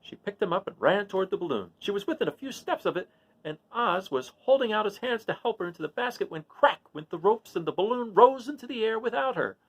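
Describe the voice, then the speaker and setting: Man, read-aloud storyteller, lively varied intonation, creaky touches, storybook narration. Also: sits high, brisk, low-quality recording. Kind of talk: storybook narration with informal asides.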